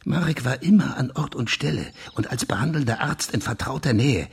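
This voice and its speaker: An actor playing a police official in a German crime radio drama, insistently laying out his theory with a husky, gravelly voice. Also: medium pitch, lively intonation, fairly quick, heavily acted. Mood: grave, deducing.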